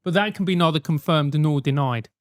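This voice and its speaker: A man's voice: a little high, a little fast, clean tone, no echo, studio quality. A man with a South London accent, a host giving advice in a casual, emphatic rant, swearing freely.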